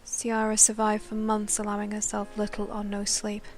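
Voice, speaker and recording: A woman's voice: medium pitch, completely flat delivery, moderate pace, a little hiss or hum. A woman reading scripted dramatic lore narration in a solemn storytelling manner. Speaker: narrator of a game lore news chronicle. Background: background music.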